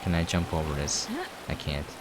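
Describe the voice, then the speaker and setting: A man's voice: rumbling low, little pitch movement, moderate pace, very noisy. A man giving casual gameplay commentary as a streamer, rambling and thinking aloud as he plays. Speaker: game streamer. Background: game music.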